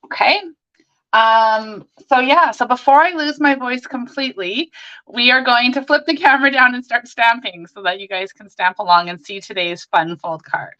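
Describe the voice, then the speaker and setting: A hoarse woman hosting a live crafting stream, chatting and greeting viewers by name as she works. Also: on the high side, moderate pace, bright, forceful tone, highs cut off. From Canada.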